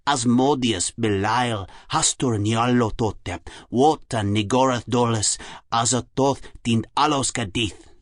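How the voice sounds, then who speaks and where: A man voicing a sneering, taunting psychoanalyst with a deliberate, incantatory manner in an audiobook. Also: extremely high pitch, expressive, sharp tone, dry recording, clean studio sound, voice pushed up, full character voice. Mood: ominous chanting. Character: sneering, taunting psychoanalyst, mad mystical chanter. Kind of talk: dramatised audiobook character acting.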